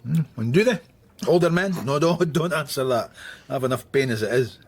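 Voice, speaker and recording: An actor playing a weary, sardonic middle-aged police inspector in a radio drama, with a gruff manner of speaking. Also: male voice, mid-range voice, lively intonation, a little fast. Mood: wry.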